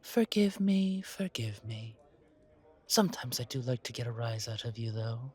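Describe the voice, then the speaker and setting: A man voicing a courtly, wary, centuries-old vampire in a roleplay audio drama, speaking in a measured, formal, breathy way. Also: medium pitch, animated, darkish tone. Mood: fond. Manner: measured, formal, politely dry.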